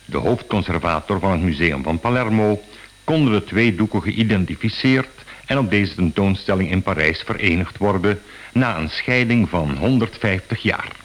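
A very low-pitched male reporter gives scripted reportage in a radio drama. His delivery is formal and composed.

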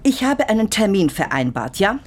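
A woman's voice: mid-range voice, sing-song, normal speed. An actress playing an anxious woman in a radio drama, speaking in an urgent, hesitant, pressing way. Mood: anxious, insistent. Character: anxious, insistent woman searching for her lost aunt. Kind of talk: radio play dialogue.

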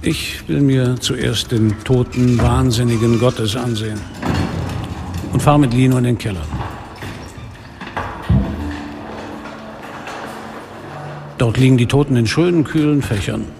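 Gravelly, world-weary police inspector played by a man. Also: medium pitch, fairly even intonation, noisy background, natural voice. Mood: dry, weary. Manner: deliberate, dry, sardonic. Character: world-weary, sardonic Algerian police inspector who narrates. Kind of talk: radio drama acting, literary first-person narration. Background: music.

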